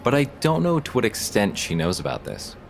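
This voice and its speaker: An actor playing a terse, understated police detective in audio drama dialogue. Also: male voice, mid-range voice, wildly swooping pitch, fairly quick, creaky. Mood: businesslike. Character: male police detective working a murder case.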